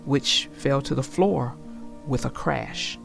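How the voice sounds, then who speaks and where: A woman narrating a children's storybook aloud in a deliberate, expressive way. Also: rumbling low, vocal fry, soft background music.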